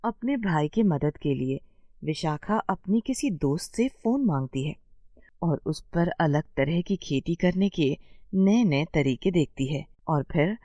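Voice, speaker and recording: A woman storyteller reading scripted narration for an animated bedtime tale. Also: low-pitched, takes it slowly, no room sound, clean studio sound.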